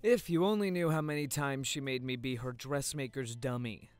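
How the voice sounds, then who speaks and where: A man's voice: mid-range voice, normal speed, smooth, dry recording, soft background music, close to an everyday voice. A man playing an easygoing, good-humoured boyfriend at a party in an audio drama. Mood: friendly. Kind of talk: naturalistic audio drama acting.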